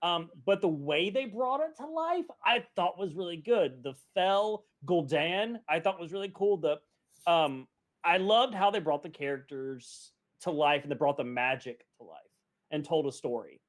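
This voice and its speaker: Man, high voice, very lively intonation, casual podcast host, animated, self-interrupting. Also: moderate pace.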